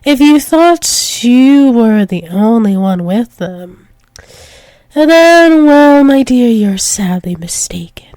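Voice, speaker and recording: Woman playing a slow, teasing, possessive demoness lover. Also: a little high, lively intonation, darkish tone, no echo. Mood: teasing, tender. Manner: slow, teasing, breathy drops into whispers, pet names. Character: doting possessive dominant dragon-wolf demoness lover, teasing. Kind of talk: intimate ASMR roleplay.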